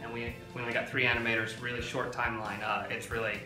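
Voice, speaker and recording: A man who animates games explaining his work in a calm, measured way. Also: medium pitch, echoey room, music in the background, poor audio. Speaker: game animator. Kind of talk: casual interview chat.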